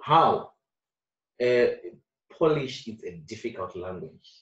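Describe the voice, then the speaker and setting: Earnest man, recorded from afar. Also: slow pace. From Zimbabwe. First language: Shona. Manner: earnest, repeats phrases, animated rises in pitch and loudness. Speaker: actor being interviewed. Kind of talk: casual interview talk. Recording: roomy distant dull sound.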